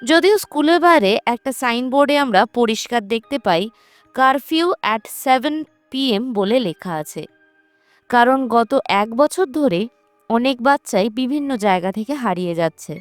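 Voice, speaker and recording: A woman reads a scripted horror recap like a storyteller. Her voice sits on the high side. The recording is dry and close, with light music underneath. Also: expressive, measured pace, dry recording. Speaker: narrator of a movie recap channel. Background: background music.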